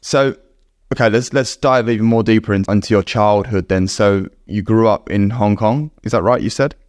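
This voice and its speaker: A man's voice: lowish voice, normal speed, a little mellow, no room sound, clean studio sound. A man hosting a podcast, reading a scripted intro and then asking encouraging interview questions. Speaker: podcast host.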